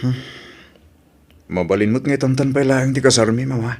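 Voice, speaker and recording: Young male fiancé played by a man, husky and evasive, hesitant everyday talk for radio-style family drama. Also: medium pitch, moderate pace, a little mellow, natural voice. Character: evasive young man engaged to a pregnant woman.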